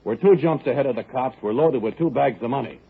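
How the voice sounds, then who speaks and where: An actor in a 1940s radio drama playing a hard-bitten male robber on the run, who also narrates in a gruff, clipped tough-guy manner. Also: medium pitch, fast. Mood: heated. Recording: tinny lo-fi 1940s radio broadcast with faint hiss.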